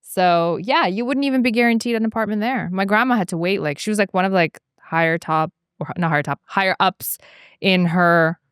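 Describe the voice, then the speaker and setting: A woman with an Eastern European accent hosting a podcast in a studio, talking in quick, animated bursts and laughing often. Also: medium pitch, clear, resonant tone, dry recording. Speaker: podcast host. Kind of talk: casual conversation.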